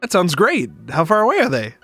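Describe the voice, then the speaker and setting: Man reading as wry, higher-voiced space traveler. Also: huge pitch swings, fairly quick, sharp tone, no echo, faint music behind, heavily acted. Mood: curious. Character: male space traveler, teasing, wry, warm-hearted companion. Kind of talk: visual novel read-aloud.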